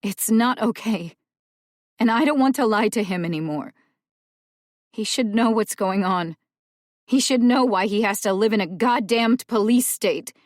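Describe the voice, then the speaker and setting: A woman voicing a furious, overwhelmed young woman who shouts and curses as she nears breaking. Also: medium pitch, measured pace, no room sound, studio quality, voice pushed up, close to an everyday voice. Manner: shouting, cursing, voice cracking.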